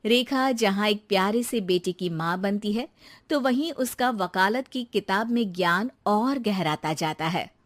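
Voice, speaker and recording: A woman's voice: medium pitch, animated, a little slow. A woman storyteller reading scripted narration for a moral story.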